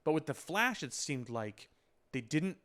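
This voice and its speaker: A man's voice: on the high side, sing-song, a little slow, fairly bright tone. A man co-hosting a podcast in casual discussion, explaining his points with lists of examples. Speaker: podcast co-host. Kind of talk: casual discussion of films and effects.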